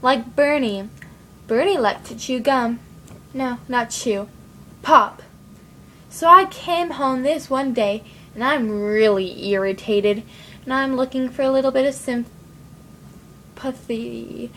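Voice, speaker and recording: A woman's voice: high voice, takes it slowly, pauses often, fairly bright tone, a little hiss or hum, exaggerated voice. A woman playing an irritated wife who snapped at her husband's habit, telling it drily. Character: irritated woman who shot her gum-popping man.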